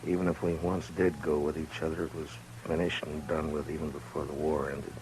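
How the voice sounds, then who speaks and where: An actor playing a stern, weary frontier marshal who speaks low and measured in a 1950s radio drama. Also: male voice, level tone, darkish tone, slight background noise, rough recording. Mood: grave.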